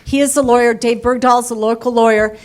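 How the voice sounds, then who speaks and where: A woman's voice: on the high side, steady tone, quick pace. A woman speaking at a community roundtable and appealing to the audience for support.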